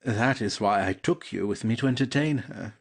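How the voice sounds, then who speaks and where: An actor playing a polite, cautious gentleman lover in a classic comedy audio play, speaking formally and with measure. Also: on the low side, normal speed, vocal fry, husky. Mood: secretive, courtly.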